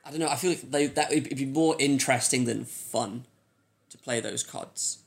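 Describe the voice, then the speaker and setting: A man's voice: mid-range voice, moderate pace, creaky. A man co-hosting a pop culture podcast, chatting informally with tentative phrasing. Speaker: podcast co-host. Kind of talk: casual pop culture chat.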